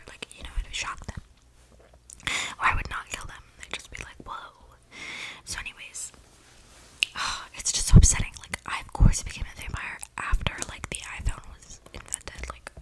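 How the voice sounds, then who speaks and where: Casting: eccentric young vampire gal a woman acting, all breathy whisper, gossipy and flippant ASMR roleplay, rambling close on the mic. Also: lightly acted, rough recording. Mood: wistful, exasperated. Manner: rambling, gossipy, slangy, dramatic. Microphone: close mic picking up breathing.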